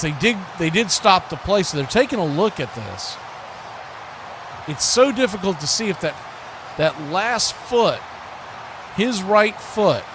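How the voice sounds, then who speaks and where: An American man doing live football play-by-play, giving clipped present-tense calls mixed with chatty asides. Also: high-pitched. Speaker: play-by-play sports commentator. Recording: clear steady background noise.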